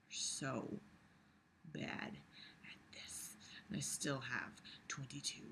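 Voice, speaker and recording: The voice is very bright and sharp, sitting at a middling pitch. It reads a book aloud casually, then reacts with exasperated, sarcastic commentary full of swearing. The delivery is animated and loose. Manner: animated, breaks into mocking imitations.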